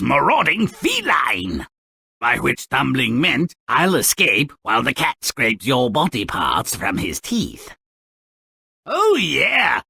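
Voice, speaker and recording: A sardonic little gnome narrating twisted storybook tales for a video game. The voice is gravelly and sharp-edged, and it swings wildly up and down in pitch. He drawls theatrically, savoring every nasty detail. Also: no room sound, studio quality, exaggerated voice. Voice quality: raspy, gravelly.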